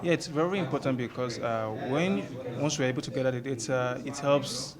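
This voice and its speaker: A man's voice: mid-range voice, a little fast. A man, a data clerk, answering in an interview. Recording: crowd chatter behind.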